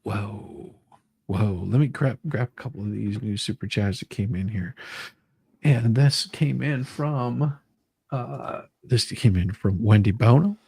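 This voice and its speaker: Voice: medium pitch, unhurried, gravelly, darkish tone, breathy edge, no room sound. A livestream host switching between a dramatic deposition read-through and informal, jokey chat.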